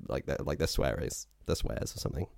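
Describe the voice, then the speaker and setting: A man with a hoarse, very low voice chats casually as a podcast co-host. He jokes and mocks himself, and the recording is dry and close. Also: fast, darkish tone, no room sound. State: hoarse from illness. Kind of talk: casual chat.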